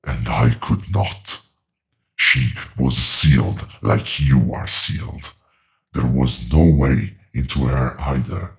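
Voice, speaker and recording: An ancient being from beyond speaks in a cavernously low register with heavy vocal fry. It delivers ominous horror-drama pronouncements slowly and deliberately, solemn, relentless and coldly knowing. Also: male voice, exaggerated voice. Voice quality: very deep, gravelly creaking voice. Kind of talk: horror audio drama monologue.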